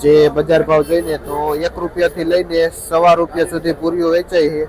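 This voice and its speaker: Flat-toned Gujarati market vlogger, higher-voiced man. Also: fairly quick, low-quality recording. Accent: Gujarati, Saurashtra. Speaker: market vlogger reporting produce prices. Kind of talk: on-the-spot vlog commentary with brief questions to vendors. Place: busy outdoor vegetable market. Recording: outdoor market with crowd and vehicle noise. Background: crowd chatter and traffic.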